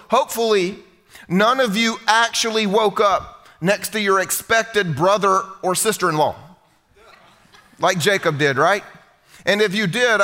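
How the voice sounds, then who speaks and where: A middle-aged pastor preaches with a bright, somewhat high voice. He jokes with the congregation and keeps his delivery conversational in a church hall. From United States. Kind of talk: sermon.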